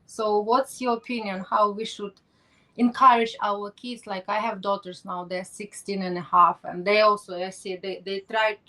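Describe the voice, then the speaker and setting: A woman's voice: mid-range voice, little pitch movement, normal speed, bright, forceful tone, poor audio. A middle-aged woman hosting an interview, speaking non-native English and searching for words with many restarts. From not from Norway. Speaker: podcast host. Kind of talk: interview conversation.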